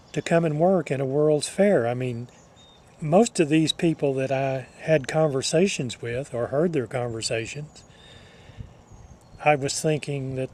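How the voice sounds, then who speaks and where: An older man telling a personal reminiscence to listeners, speaking in a measured, deliberate way with halting phrasing. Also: on the high side, darkish tone, a little hiss or hum. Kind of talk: personal reminiscence told to an audience.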